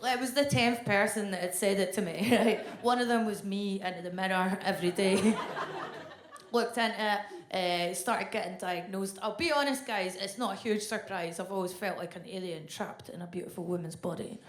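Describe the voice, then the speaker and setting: A Scottish woman doing a blunt, deadpan stand-up set. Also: mid-range voice, moderate pace, roomy sound. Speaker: stand-up comedian. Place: comedy club stage.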